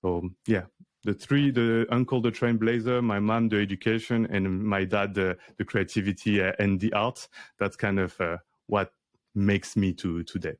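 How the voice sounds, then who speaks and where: A man's voice: on the low side, fairly even intonation, moderate pace, dry recording. A man, a career coach guest on an interview podcast, talking casually with many fillers and restarts. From France.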